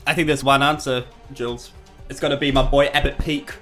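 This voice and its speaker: A woman's voice: rumbling low, fairly even intonation, a little fast, fairly bright tone, faint music behind. A woman playing a tabletop game, chatting casually and joking playfully. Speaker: player on a tabletop game podcast. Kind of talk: casual jokey table chat.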